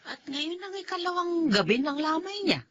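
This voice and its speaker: A woman's voice: very high-pitched, big pitch swings, normal speed, fairly bright tone, no echo, dull audio, exaggerated voice. An actress with a Filipino accent playing a caring, solemn elderly mother and grandmother in a radio drama. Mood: sorrowful.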